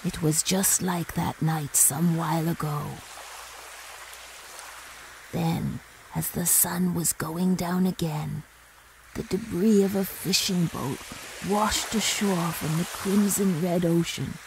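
A woman narrating a spooky folk tale in a hushed, eerie storytelling manner. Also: on the low side, fairly even intonation, slow, background noise.

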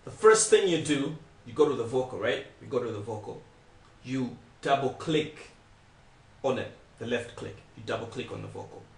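A young man giving a casual step-by-step software tutorial, speaking informally and repeating his instructions. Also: mid-range voice, lively intonation, moderate pace, gravelly, a little hiss or hum. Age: young adult. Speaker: tutorial presenter. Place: small indoor room, not soundproof. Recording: echoey untreated room, distant mic.

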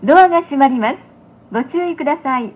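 A woman's voice: lively intonation, fast talker. A woman reading a scripted onboard train announcement in a formal, polite, carefully enunciated way. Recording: tinny muffled playback, loud train noise. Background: rumbling train carriage.

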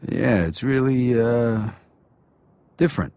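A man playing a hot-tempered young mobster in a video game, with a rough husky voice, an American accent and blunt, sardonic speech. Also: medium pitch, slow pace, warm tone, no room sound. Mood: taken aback.

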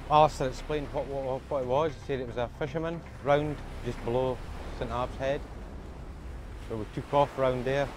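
A man's voice: mid-range voice, steady tone, normal speed, noisy background. A Scottish lifeboat crewman, recounting a rescue in a plainspoken interview manner. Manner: plainspoken, with a short laugh now and then. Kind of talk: interview recollection.

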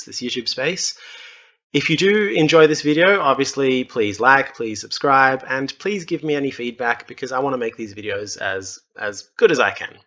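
A young man with an Australian accent talks his viewers through a screen share in a loose, self-deprecating way, with plenty of ums. His voice is bright and forward at a middling pitch. The recording sounds a little dull and lo-fi, like a basic desk mic. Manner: chatty and self-deprecating, with many fillers. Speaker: YouTube host. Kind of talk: casual screen-share walkthrough.

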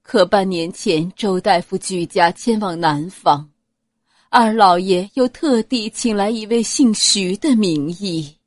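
A woman's voice: medium pitch, takes it slowly, dry recording, clean studio sound. A woman voicing an anxious, deferential female household servant in an audiobook, speaking urgently and respectfully. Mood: troubled explaining. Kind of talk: audiobook character acting.

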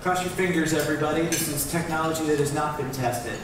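A man's voice: a little high, fast. A middle-aged American man giving upbeat panel remarks, standing and gesturing on stage with a headset mic in a large event hall. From United States. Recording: echoey hall, distant mic, background noise.

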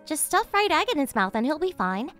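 A woman's voice: high-pitched, big pitch swings, fairly quick, loud music behind the voice. A woman voicing a brash, teasing young anime heroine with a blunt manner in a comic dub. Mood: casual, blunt. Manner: blunt, foul-mouthed.

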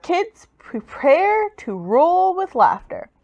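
A woman's voice: extremely high pitch, big pitch swings, slow, darkish tone, no room sound, dull audio, pitched above the performer's own voice, full character voice. A woman voicing a small male storybook monster, confident but jumpy, with an exclamatory manner. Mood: cocky, eager.

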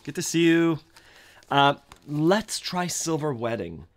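Animated man teaching accordion live. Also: a little high, slow pace. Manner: thinking aloud, scatting melodies, animated. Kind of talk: livestream music lesson. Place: home music room, indoors. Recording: accordion music under the voice. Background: accordion playing.

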